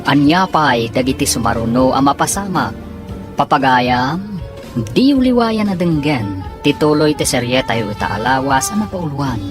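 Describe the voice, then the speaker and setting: Low-voiced woman radio drama announcer. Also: very animated. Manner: announcing, formal and measured. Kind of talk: scripted radio narration. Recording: music bed under the voice.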